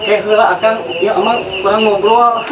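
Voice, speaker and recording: A man's voice: sits high, steady tone, normal speed, close to an everyday voice. A man playing a jumpy Sundanese village watchman in a comedy sketch, chiming in and echoing others' words in a Sundanese accent. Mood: agreeable. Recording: echoey, distant mic, loud noise.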